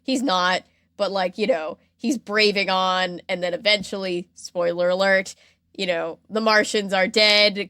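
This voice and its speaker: A woman's voice: mid-range voice, clear, resonant tone, dry recording. Casual woman podcaster telling a story, dry sound. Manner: animated, slangy, acts out quotes. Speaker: podcast host.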